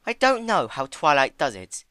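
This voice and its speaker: A man's voice: a little high, wildly swooping pitch, moderate pace, bright, forceful tone, dry recording, clean studio sound, pitched above the performer's own voice, exaggerated voice. A man voicing a refined, vain female pony in an affected, theatrical manner for a dramatic storybook reading. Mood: sombre. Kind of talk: dramatic fan fiction reading.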